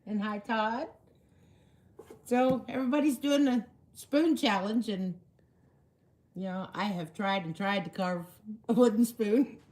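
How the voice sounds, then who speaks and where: A hoarse woman, chatting casually while hosting a woodworking livestream and laughing at herself. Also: medium pitch, a little slow, long pauses, a little mellow.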